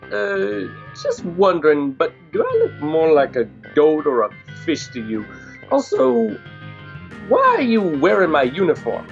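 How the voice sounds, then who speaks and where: A man's voice: a little high, very animated, unhurried, vocal fry, lo-fi sound, soft background music, heavily acted. A man playing a dim, friendly lizard man in a comic audio drama, hesitant and earnest. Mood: naive, puzzled. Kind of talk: audio drama comedy acting.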